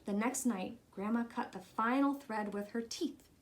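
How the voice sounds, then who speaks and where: A woman reads a children's story aloud. Her voice sits a little high, and she delivers the text as a storybook narrator would. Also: unhurried. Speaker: storybook reader.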